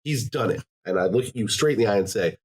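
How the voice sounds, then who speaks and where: Male podcast host, low-pitched and animated, joking around casually. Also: quick pace, breathy edge. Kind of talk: casual chat.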